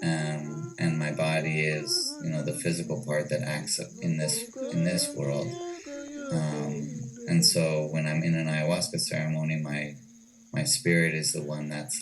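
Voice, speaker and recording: A man with an American accent giving reflective, measured answers as an interview guest. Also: extremely low pitch. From United States. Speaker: interview guest, ayahuasca retreat owner. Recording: distant mic with clear music behind.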